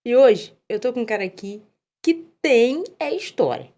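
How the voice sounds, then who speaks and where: Woman host, somewhat high-pitched, brisk and friendly prompting. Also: a little hiss or hum. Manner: lively, chatty, prompting questions. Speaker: podcast host. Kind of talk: casual interview.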